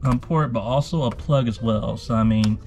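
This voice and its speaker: A man's voice: medium pitch, moderate pace, darkish tone. A tired man giving a casual, informal tour as a travel vlogger, addressing viewers in a folksy way. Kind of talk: casual cruise ship tour commentary.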